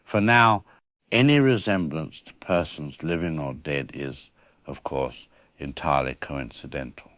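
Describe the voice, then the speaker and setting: A man's voice: extremely low pitch, a bit bright, dry recording, lo-fi sound. A man playing a formal, droll, macabre narrator who introduces a horror audio drama. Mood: droll, ominous. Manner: formal, dryly droll, deliberate. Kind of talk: scripted horror anthology introduction.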